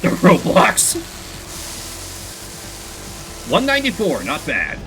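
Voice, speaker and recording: A man's voice: on the high side, wildly swooping pitch, drawn-out words, fairly bright tone, low-quality recording. A man streaming a video game, giving casual, rambling commentary with jokey offhand asides. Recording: game music in the background. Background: game music.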